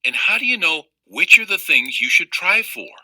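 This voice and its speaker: A man's voice: sits high, sing-song, moderate pace, pushed and bright, no echo, voice pushed up, exaggerated voice, rough recording. A man voicing an anxious, questioning storybook child who speaks in an earnest, pleading way. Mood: curious. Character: anxious, questioning young child narrator of a novel.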